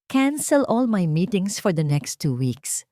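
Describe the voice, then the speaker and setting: Audio drama executive: icy, stern, measured authority, dry studio sound, voiced by a female narrator. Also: lowish voice, very animated, dark tone, dry recording. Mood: curt command. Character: cold, commanding executive confronting a betrayer.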